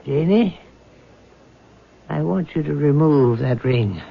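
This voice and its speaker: An actor playing a calm, probing middle-aged family doctor in a radio drama, speaking in a measured, questioning and firm way. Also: male voice, on the high side, creaky, full character voice. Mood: stern, insistent. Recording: muffled, noisy old radio broadcast.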